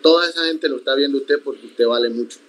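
A young man from the Colombian Sierra Nevada whose first language is Iku, calmly answering interview questions over a video call from a room at home. He talks in a reflective way and often restarts his sentences. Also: medium pitch. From Sierra Nevada de Santa Marta, Colombia. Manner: measured, reflective, with frequent restarts. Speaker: interview guest, accordionist. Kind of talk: conversational interview.

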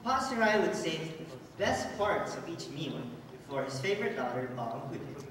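A man's voice: fairly high pitch, sing-song, normal speed, a crowd in the background. A young man narrating a stage pantomime from a script, with a theatrical storyteller delivery. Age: young adult. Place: large theatre auditorium, heard over PA. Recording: echoey hall from far off, music behind. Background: loud music.

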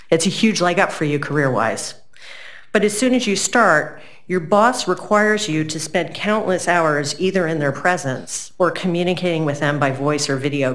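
A middle-aged woman reading a prepared lecture aloud at a university symposium, earnest and measured, a little froggy from a cold. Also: low voice. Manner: measured, earnest, with occasional "um" fillers. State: getting over a cold, slightly froggy. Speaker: keynote lecturer.

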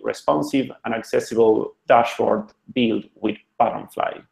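Gravelly Argentinian man presenting a talk. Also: mid-range voice, a little mellow, reverberant, low-quality recording. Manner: friendly, joking, conversational with restarts. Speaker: web designer presenting a talk. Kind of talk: online conference presentation. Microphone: computer mic on a video call.

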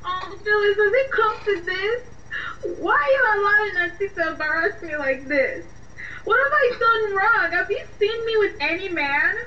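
An actress playing an anxious young Nigerian student in a radio drama, pleading and flustered, with a Nigerian accent. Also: female voice, very high-pitched, expressive, normal speed, bright, forceful tone, exaggerated voice. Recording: dull, echoey, distant, slightly noisy.

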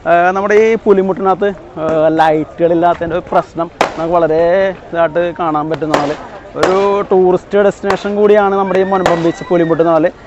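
A man narrating a casual vlog, often halting to search for words. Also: on the high side. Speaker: vlogger. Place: outdoors on a seaside breakwater at night. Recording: noisy outdoor phone recording.

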